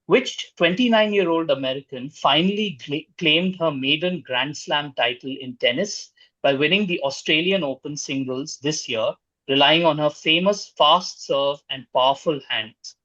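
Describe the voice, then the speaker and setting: A man's voice: on the high side, moderate pace, sharp tone, no room sound. A man acting as quiz master, reading out questions and scoring answers over a dull-sounding online call.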